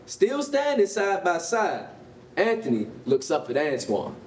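A man with an American accent narrates a staged reading in a high voice with animated swings of pitch. He reads scripted stage directions in a roomy hall, picked up from a distance. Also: a little slow. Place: concrete-walled rehearsal hall. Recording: roomy, distant mic, faint noise.

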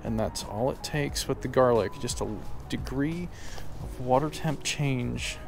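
A man's voice: medium pitch, unhurried, creaky, music in the background. An American man in his thirties giving casual, upbeat running commentary as a fishing vlogger, recorded outdoors. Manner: chatty, informal, upbeat. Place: outdoors by a small lake.

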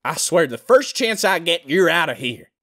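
Indignant scolder, high-pitched, wildly animated, exasperated, audiobook character. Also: male voice, moderate pace, heavily acted.

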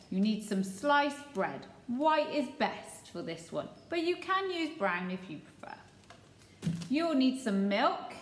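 A woman in her thirties talks slowly and carefully, as a teacher does for small children. Her voice sits a little high and swoops up and down with a sing-song lilt. The sound carries the echo of a small home kitchen, picked up by a nearby webcam. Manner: slow, sing-song, gestures as she explains. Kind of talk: cooking lesson for young children. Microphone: webcam or phone at close range.

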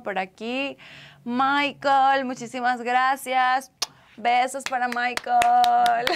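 A young Venezuelan woman with a fairly high voice, hosting a live stream from a small room. She talks casually and playfully, reading out comments and answering them in an expressive, affectionate way. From Caracas, Venezuela. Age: young adult. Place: small indoor room with couch and acoustic foam.

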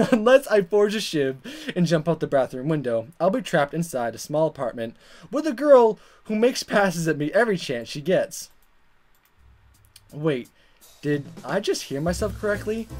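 A man reading a visual novel aloud in a playful, dramatic style, with joking asides and stumbles. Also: high-pitched. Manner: animated, chuckling, stumbling over the text. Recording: music playing behind. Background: game music.

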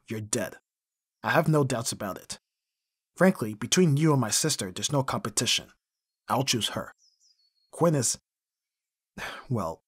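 A man's voice: mid-range voice, a bit bright, no room sound, studio quality. A man playing an abrasive, brutally honest bodyguard in an intimate audio roleplay, speaking bluntly with heavy sighs. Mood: grim, blunt. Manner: gruff, sighing, swearing, blunt. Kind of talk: intimate ASMR roleplay acting.

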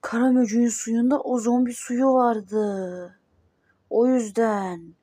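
A woman voicing panicky kid characters in a homemade cartoon, with excitable exclamations. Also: fairly high pitch, slow, no echo. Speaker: cartoon voice performer.

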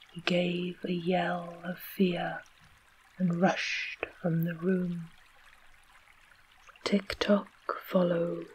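A storyteller reading a fairy tale aloud in a soft, unvoiced whisper. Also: medium pitch, slightly rough, rough recording.

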